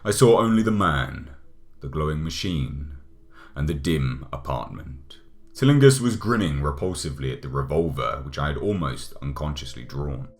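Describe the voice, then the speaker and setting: A man narrating a gothic horror audiobook in a measured, dramatic manner. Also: very deep voice, animated. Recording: music under the voice.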